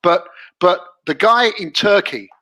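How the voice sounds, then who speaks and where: A man's voice: high voice, animated, unhurried, sharp tone. A man with an English accent, co-hosting a casual discussion, emphatic and tagging points with "right?". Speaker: co-host.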